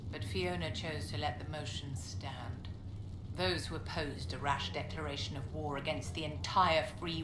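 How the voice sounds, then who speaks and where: A woman playing a haughty, poised middle-aged court enchantress in a video game, speaking with elegant, measured, formal condescension. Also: low-pitched, lightly acted. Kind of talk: scripted video game dialogue. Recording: roomy echo, clear background noise.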